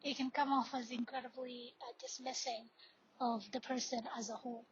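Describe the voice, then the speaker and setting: A woman's voice: a little high, little pitch movement, moderate pace. A young woman in her twenties, a student mentor speaking earnestly in a podcast discussion. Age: young adult. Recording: dull lo-fi audio, faint noise.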